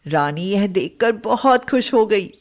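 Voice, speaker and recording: A woman narrates a moral bedtime story. Her voice sits at a middle pitch. She reads the scripted lines very slowly, phrase by phrase. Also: expressive.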